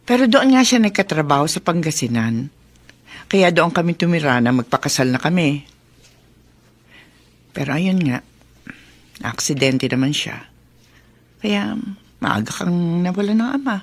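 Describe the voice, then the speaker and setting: A woman acting a caring, knowing middle-aged widowed mother in a Filipino radio drama, gently teasing and motherly. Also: fairly low pitch, animated, a little slow, long pauses. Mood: tender, wistful.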